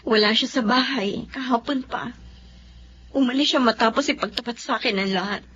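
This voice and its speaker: A woman's voice: on the high side, normal speed, fairly bright tone, close to an everyday voice. A woman with a Filipino accent acting in a radio drama as an ambitious, emotional wife and mother with a pointed manner. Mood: guilty. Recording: muffled audio with faint background noise.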